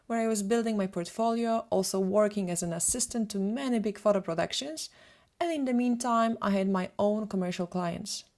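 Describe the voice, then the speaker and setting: A woman in her thirties with a Polish accent, a photographer presenting a prepared webinar in a steady, read-from-notes way. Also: medium pitch. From Poland.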